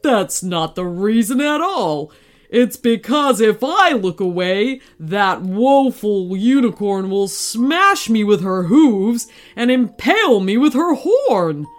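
A woman voicing a proud, blustering male tiger in a children's story, with a gruff, defiant growl. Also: medium pitch, big pitch swings, slow, soft background music. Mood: scornful.

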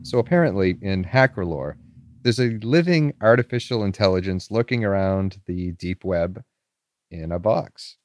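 Man playing a casual skeptical internet guy, lowish voice. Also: lively intonation, moderate pace, darkish tone, dry recording. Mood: casual, curious. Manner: casual, dismissive. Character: skeptical male internet forum poster. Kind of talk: horror audio drama monologue.

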